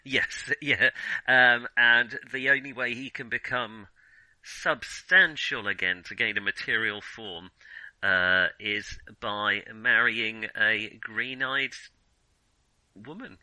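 A man's voice: mid-range voice, slow, bright, forceful tone, no room sound, rough recording. A man hosting a podcast, chatting casually about films in a wry, self-deprecating, jokey way. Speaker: podcast host. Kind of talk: casual film discussion with playful scripted intro.

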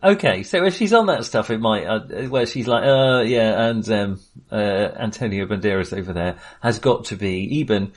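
Man, podcast co-host, casual film talk, medium-pitched voice, halting and meandering delivery, prone to chuckles. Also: expressive.

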